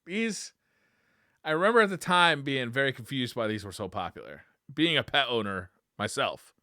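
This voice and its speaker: A man's voice: mid-range voice, big pitch swings, moderate pace, bright, forceful tone, no room sound, studio quality. A man co-hosting a podcast, chatting casually and with enthusiasm about games. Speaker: podcast co-host. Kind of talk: casual gaming chat with commentary.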